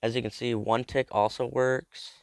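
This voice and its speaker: A man's voice: mid-range voice, flat delivery, normal speed, vocal fry. Young man with a cold, casual tutorial. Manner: chatty, informal, explains step by step. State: has a cold. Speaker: video creator explaining a game build.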